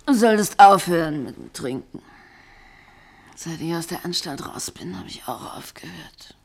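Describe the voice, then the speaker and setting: An actress playing a lonely, needling ex-partner in a German radio play, intimate and volatile, with a creaky, breathy voice. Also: female voice, on the low side, expressive, normal speed, exaggerated voice. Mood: fussing, reproachful. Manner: intimate, fussing, emotionally volatile.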